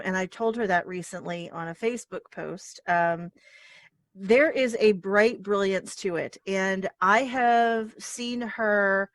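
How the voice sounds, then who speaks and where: A woman's voice: mid-range voice, fairly bright tone, no room sound. A woman hosting an interview show, bubbly and effusive, laughing often. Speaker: podcast host. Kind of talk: casual interview chat.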